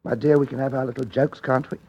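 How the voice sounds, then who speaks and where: A man playing a brusque, coolly menacing middle-aged gentleman father in a suspense radio drama, clipped and insistent. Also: medium pitch, flat delivery, fast, creaky, husky, muffled recording. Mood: forced joviality. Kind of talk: old-time suspense radio drama acting.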